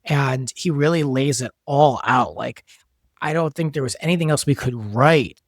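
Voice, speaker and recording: A man's voice: medium pitch, normal speed, dry recording, studio quality. A man talking casually as a writer and director, discussing a drama production. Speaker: podcast guest, audio soap writer and director.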